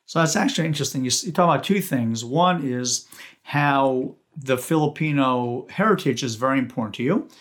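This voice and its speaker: A man whose first language is Ukrainian, hosting a casual podcast chat in an earnest, halting way with frequent restarts. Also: medium pitch. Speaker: podcast host. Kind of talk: casual conversational interview.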